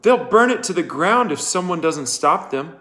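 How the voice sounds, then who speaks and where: A man's voice: fairly high pitch, animated, moderate pace, a bit bright, voice pushed up. A man voicing a frightened but defiant young woman schoolteacher in a storybook, breathless and pleading. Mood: urgent, pleading.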